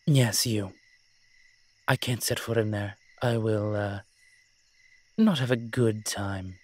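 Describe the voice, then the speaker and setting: A man's voice: on the low side, slow, darkish tone. A man playing a roguish incubus demon in a roleplay audio drama, talking in a sly, teasing, conversational way. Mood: reluctant, persuading. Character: smooth-talking roguish male incubus demon, playful and scheming.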